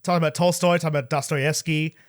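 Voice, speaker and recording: A man's voice: a little high, fast. A man co-hosting a casual movie review podcast, talking in a playful, rambling way as he loses his voice. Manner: animated, playful, rambling with restarts. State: losing his voice. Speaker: podcast co-host. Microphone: podcast mic.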